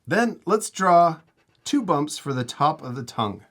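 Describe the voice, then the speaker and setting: A man's voice: a little high, very animated, a little slow, fairly bright tone. A man hosting a casual step-by-step art tutorial, giving encouraging, simple instructions for children. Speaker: host teaching drawing to children.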